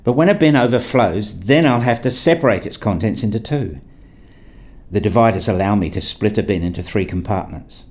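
A man's voice: lowish voice, expressive, normal speed, darkish tone, husky. A wry, playful husband and father played by a man tells his story in a radio play. He sounds like a nerdy everyday dad, slipping between first-person narration and family dialogue. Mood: musing.

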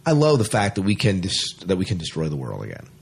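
Low husky man, chatty podcast host. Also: huge pitch swings, quick pace. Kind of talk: casual sci-fi podcast chat.

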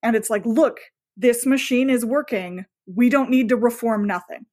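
A woman speaking casually as an interview guest, telling things in an animated, bookish and enthusiastic way. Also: fairly high pitch, moderate pace. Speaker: podcast guest, author.

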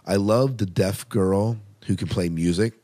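A man's voice: fairly low pitch, unhurried, dark tone, slight background noise. A man hosting a podcast in casual, conversational chat about shows, often putting questions to his co-host.

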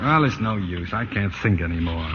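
A man's voice: sits low, animated, moderate pace, a bit bright, slight background noise. An actor playing a harried, exasperated middle-aged advertising man in a 1940s radio comedy. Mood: weary, defeated. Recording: muffled, echoey old radio broadcast.

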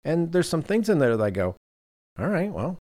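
A man's voice: a little high, sing-song, moderate pace, a little mellow. A man hosting a podcast in casual conversation, telling anecdotes in a self-deprecating way. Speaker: podcast host.

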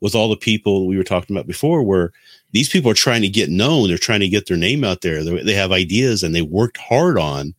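A man with a deep, low voice speaks as a podcast co-host. He explains things casually and a bit haltingly, feeling his way through the thought. The sound is dry, with no room echo. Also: lively intonation.

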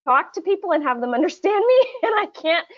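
A woman hosting a casual game segment in a chatty podcast style, playful and quick to laugh as she talks. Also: very high-pitched, sing-song, lo-fi sound. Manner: playful, laughs often while talking. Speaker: podcast host.

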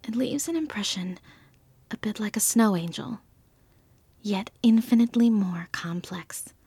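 A woman playing an earnest, wonderstruck field naturalist, giving a measured, lyrical report in an audio drama with a soft, breathy voice. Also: mid-range voice, no room sound. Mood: wistful wonder. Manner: thoughtful, lyrical, unhurried.

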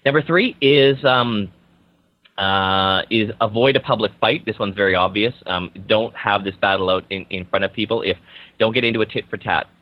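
A man with a whiny, nasal voice, a podcast guest who explains in a lively way with everyday examples. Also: lowish voice, moderate pace, no echo. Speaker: podcast guest, social media agency owner. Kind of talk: casual podcast chat. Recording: slightly dull lo-fi audio.

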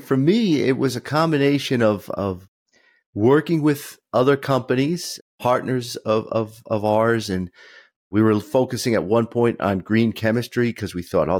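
A man's voice: lowish voice, moderate pace, darkish tone, no room sound, studio quality. A man hosting a podcast, chatting casually and welcoming his guest in a friendly, upbeat way. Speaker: podcast host.

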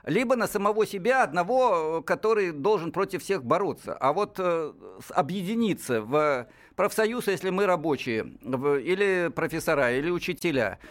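A man's voice: sits high, expressive, normal speed, hardly any pauses, a bit bright. A man in his sixties co-hosting a radio talk show, speaking in a lecturing, professorial way. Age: 60s. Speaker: radio co-host.

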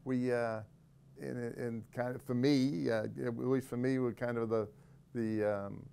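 A man's voice: mid-range voice, steady tone, measured pace, vocal fry, dark tone, smooth. A middle-aged man, a corrections officer and podcast guest, explaining things thoughtfully in casual conversation, often restarting his sentences.

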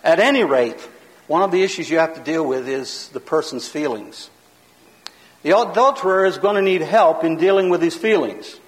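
Guy with a loud, high preaching voice, emphatic, lecturing, big echoey hall. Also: moderate pace. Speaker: pastor teaching a seminar. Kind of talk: sermon-style teaching lecture. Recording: long hall reverb.

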